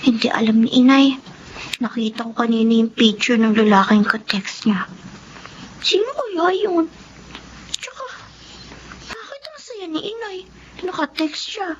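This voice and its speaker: An actress with a Filipino accent playing a dutiful, timid young daughter in a radio drama. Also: female voice, on the high side, animated, unhurried, long pauses. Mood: secretive, puzzled. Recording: dull audio with faint noise.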